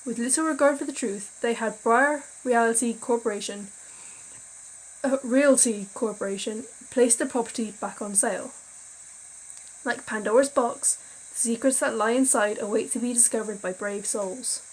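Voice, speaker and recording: A tired young woman reads a game's eerie backstory aloud, chatting between passages. She trips over words and laughs them off in a slightly high voice. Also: moderate pace, poor audio. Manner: chatty, self-deprecating, stumbles over words while reading. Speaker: gaming video creator. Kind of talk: reading a visual novel aloud, casual commentary.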